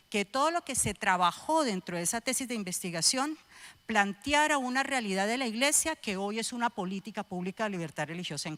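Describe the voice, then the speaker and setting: Guest church speaker: middle-aged Colombian woman, medium-pitched voice, emphatic and deliberate testimony delivery. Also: a bit bright. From Cúcuta, Colombia. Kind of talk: personal testimony speech to a congregation.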